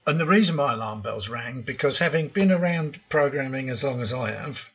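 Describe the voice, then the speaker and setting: A man's voice: medium pitch, expressive, brisk, a bit bright, slightly rough, dull audio. An older man hosting a programming tutorial, talking through slides in a frank, opinionated way with frequent ums. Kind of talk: narrated slide lesson.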